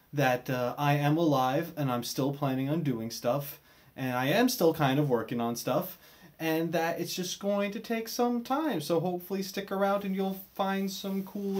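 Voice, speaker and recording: A man in his twenties with an American accent giving a casual vlog update in a hesitant, self-deprecating, animated way, recorded in a small bedroom. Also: fairly high pitch. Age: 20s. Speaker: video creator.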